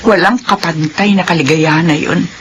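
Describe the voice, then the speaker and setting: A woman's voice: fairly low pitch, moderate pace, close to an everyday voice. An actress playing a devoted middle-aged widowed mother in a classic radio drama. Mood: earnest. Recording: noisy old radio drama recording.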